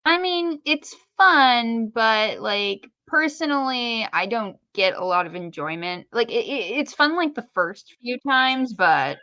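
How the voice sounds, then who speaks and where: A woman's voice: fairly high pitch, animated, slow, few pauses, bright, forceful tone, no room sound, dull audio. A woman co-hosting a casual podcast discussion, questioning and thinking aloud in a tentative way. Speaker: podcast co-host.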